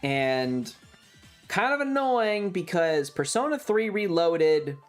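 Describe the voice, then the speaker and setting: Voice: medium pitch, big pitch swings, slow, soft background music. A video game streamer chatting casually in a rambling, blunt way that is peppered with swearing. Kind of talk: casual livestream commentary.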